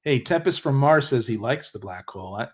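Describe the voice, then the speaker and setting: A man co-hosting a casual livestream, reading chat comments, easygoing and quick to laugh. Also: medium pitch, lively intonation.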